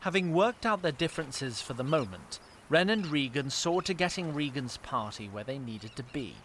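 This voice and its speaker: A man narrating a scripted fantasy audio drama in a measured storytelling manner. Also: on the high side, animated, a bit bright, a little hiss or hum.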